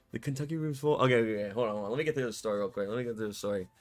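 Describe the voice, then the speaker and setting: A male streamer chatting casually and excitably on a live stream, his voice a bit scratchy from cheering, in a warm home room. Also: mid-range voice, very fast, soft background music. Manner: excitable, slangy, rambling.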